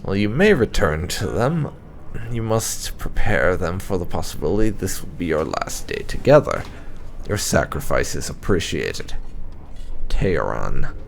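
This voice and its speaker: Creaky, low-key man reading fantasy lore. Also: very animated, measured pace, slight background noise. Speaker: gaming YouTuber reading in-game texts aloud. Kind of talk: dramatic read-aloud of fantasy game documents.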